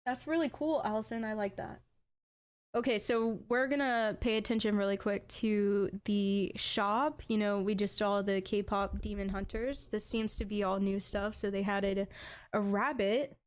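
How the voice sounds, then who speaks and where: A young adult woman with an accent, chatting casually as a game streamer and bursting into excited exclamations. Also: medium pitch, unhurried, clear, resonant tone. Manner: chatty, bursts into excited "ooh"s over items. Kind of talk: casual live-stream chat.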